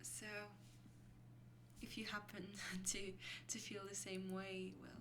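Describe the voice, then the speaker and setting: A woman playing an affectionate young vampire girlfriend in an ASMR roleplay, speaking softly and intimately in a hesitant, breathy voice that often drops to a whisper. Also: mid-range voice, flat delivery, close to an everyday voice. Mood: fond, nostalgic. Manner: slow, hesitant, intimate, with small breathy laughs. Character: affectionate young female vampire girlfriend mentoring a newly turned lover. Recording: clearly noisy recording with hum.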